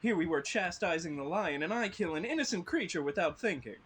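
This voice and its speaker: A man's voice: high-pitched, expressive, a little fast, a bit bright. A man playing a tender-hearted, anxious and weepy tin woodman in a live fantasy table read, fretful and melodramatic. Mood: mournful earnest.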